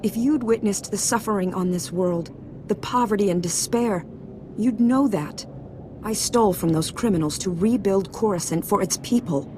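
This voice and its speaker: A woman's voice: mid-range voice, moderate pace, close to an everyday voice. A woman playing a proud, self-righteous senator in a video game, speaking earnestly and formally. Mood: pleading. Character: proud, self-righteous female senator defending her crimes. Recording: clearly noisy background ambience.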